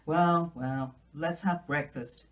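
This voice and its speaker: A woman's voice: low voice, fairly even intonation, takes it slowly. A woman voicing a brusque, disapproving, practical older woman in a storybook, stern and grudging in manner. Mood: brisk, grudging.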